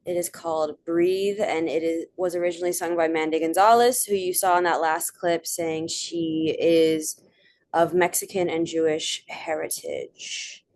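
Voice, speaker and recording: A woman's voice: lowish voice, fairly even intonation, a little slow, a little mellow, a little hiss or hum. A young adult woman giving a casual online presentation, chatty, with frequent fillers and asides. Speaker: presenter of a theater talk.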